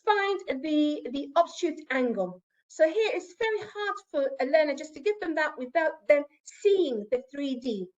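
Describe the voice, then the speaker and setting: A woman's voice: high voice, expressive, measured pace, dull audio. A woman whose first language is Arabic, giving an academic conference presentation in English and explaining with asides to the audience.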